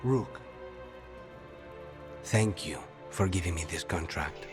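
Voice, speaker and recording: Low-voiced, deadpan, awkward assassin. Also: male voice, takes it slowly. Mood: deadpan, self-doubting. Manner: deadpan, self-doubting. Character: reserved, awkward, deadpan male assassin smitten with a teammate. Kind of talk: natural game acting. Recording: game music behind.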